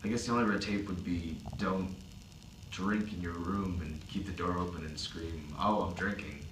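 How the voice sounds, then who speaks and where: A man's voice: sits low, steady tone, normal speed, lightly acted. A young man acting as a laid-back college student in a dramatic interview reading, casual and musing. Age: young adult. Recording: echoey, distant mic, background noise.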